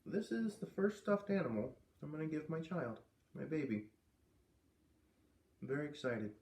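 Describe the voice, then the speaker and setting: A man reading a story aloud with expressive narration and casual asides. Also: fairly high pitch, normal speed. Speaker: storybook reader. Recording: echoey room.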